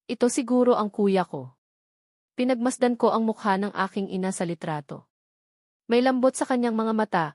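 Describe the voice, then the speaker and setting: A woman's voice: medium pitch, a little mellow, no room sound, clean studio sound. A woman reading a scripted first-person dramatic story as its narrator.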